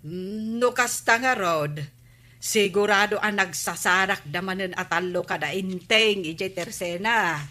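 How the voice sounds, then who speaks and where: An actress with an Ilocano accent playing a suspicious, bossy village wife in a radio comedy drama, scolding with emphasis. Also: female voice, mid-range voice, a little slow, sharp tone.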